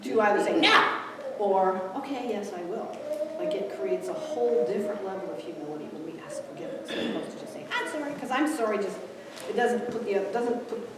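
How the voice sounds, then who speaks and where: Woman talking at a conference, animated, picked up from far off in an echoey hall. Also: medium pitch, brisk, few pauses, over a noisy crowd. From Ethiopia. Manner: animated, acts out quoted dialogue. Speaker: conference speaker. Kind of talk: live conference talk. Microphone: distant room mic.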